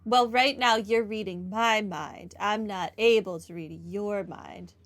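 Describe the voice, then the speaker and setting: A woman's voice: medium pitch, slow. A woman giving casual, wry, conversational commentary as she plays through a game. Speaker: gaming streamer. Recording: game music faintly behind.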